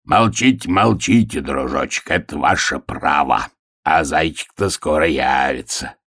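A man voicing a sly, menacing storybook wolf who speaks with mock politeness in an unctuous, husky, drawn-out way. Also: a bass voice, fairly bright tone, pitched below the performer's own voice, heavily acted. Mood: mockingly polite. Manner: unctuous, wheedling, drawn-out words. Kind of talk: storybook character reading.

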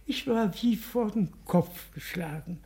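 An actor playing an affronted, snobbish aristocratic baron and adjutant in a radio play, with an affected, haughty, emphatic delivery. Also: male voice, high-pitched, a little slow, warm tone, breathy edge, heavily acted. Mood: pompous outrage. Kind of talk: German radio play acting.

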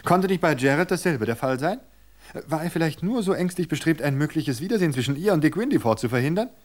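A man narrating a novel in a measured storytelling manner, slowing for suspense. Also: a little high, expressive.

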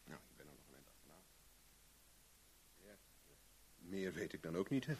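An actor playing a guarded private investigator in a radio play, casual and dryly probing. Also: male voice, low voice, lively intonation, normal speed, a little mellow, husky, exaggerated voice, poor audio. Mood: guarded, evasive. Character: wary, dry male private investigator. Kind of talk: Dutch radio play acting.

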